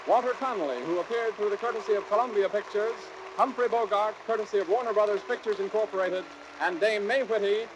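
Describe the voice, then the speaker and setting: High-voiced vintage male radio announcer. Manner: formal, declamatory reading. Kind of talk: 1930s radio credits announcing. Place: theater in front of an audience. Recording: noisy old radio recording, applauding crowd.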